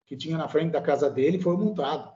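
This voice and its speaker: A man's voice: on the high side, fast, warm tone, highs cut off. A Brazilian man, a guest in a casual interview, talking hesitantly with many fillers and tag questions. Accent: Brazilian Portuguese. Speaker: guest, immigrant living in Italy.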